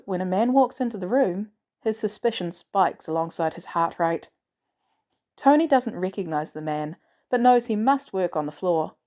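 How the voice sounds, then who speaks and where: A woman's voice: mid-range voice, dark tone. A woman reading a scripted story aloud as a narrator. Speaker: audiobook narrator of fan fiction.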